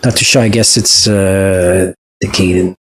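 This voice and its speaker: A man with a French accent hosts a reaction video in a slow, thoughtful monologue. He speaks in a somewhat low voice and pauses often with fillers and restarts. His delivery stays casual and reflective. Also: fairly even intonation, slightly rough. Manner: hesitant, many fillers and restarts.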